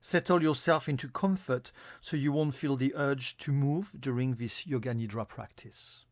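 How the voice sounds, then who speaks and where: A man giving a guided yoga nidra meditation as an instructor, in a calm, soothing, instructional manner. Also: medium pitch, moderate pace, dry recording.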